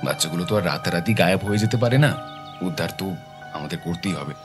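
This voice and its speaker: A man acts a determined, methodical detective in an audio drama. His voice is low and his delivery is serious and deliberate. Music is clearly heard behind him. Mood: grave.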